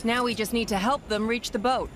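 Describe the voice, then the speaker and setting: A woman's voice: a little high, fairly even intonation, brisk, background noise, natural voice. A woman playing a serious, practical space warrior in a video game, direct and businesslike. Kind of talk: animated video game character acting. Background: loud music.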